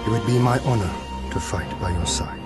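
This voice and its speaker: A man's voice: fairly low pitch, over loud music, natural voice. A man playing a wry, noble exiled lord. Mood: grateful, solemn. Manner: formal archaic diction, measured and courtly. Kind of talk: fantasy game cutscene acting.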